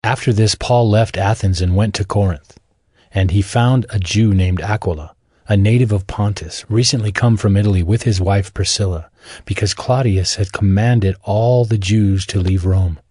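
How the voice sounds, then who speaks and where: A man narrating scripted audio Bible scripture as a measured, solemn storyteller. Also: lowish voice, darkish tone, slightly rough, no room sound, clean studio sound.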